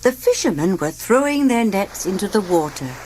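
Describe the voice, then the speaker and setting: A woman reading a children's read-along story aloud, expressive and sing-song, acting out the sound effects. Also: mid-range voice, normal speed, slight background noise. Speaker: storybook narrator.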